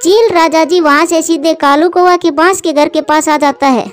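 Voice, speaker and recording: A woman narrates a scripted children's story. Her voice is high, and faint music plays beneath it. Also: moderate pace. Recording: music behind the voice.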